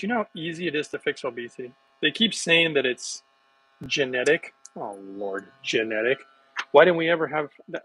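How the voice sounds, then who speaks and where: A middle-aged man chatting casually with livestream viewers while making a smoothie in his home kitchen, rambling from one thought to the next. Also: a little high, fairly bright tone, no room sound, poor audio. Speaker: wellness vlogger.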